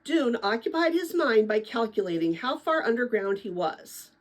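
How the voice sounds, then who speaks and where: A woman narrating a children's adventure novel as a dramatic read-aloud, with expressive, deliberate phrasing. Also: fairly high pitch. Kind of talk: dramatic storybook read-aloud for middle-grade listeners.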